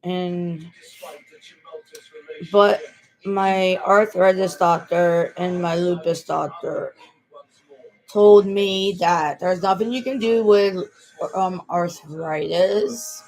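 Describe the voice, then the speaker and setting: A woman's voice: on the low side, fairly even intonation, drawn-out words, pauses often, a little mellow, low-quality recording. A woman in her late twenties talking casually to the camera in a personal vlog, rambling, repeating herself and restarting her sentences. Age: 20s. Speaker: vlogger.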